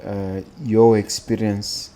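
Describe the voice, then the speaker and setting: A man with a Botswana accent hosting a casual podcast, speaking haltingly with pauses between phrases. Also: on the low side, flat delivery, mellow, slight background noise. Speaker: podcast host.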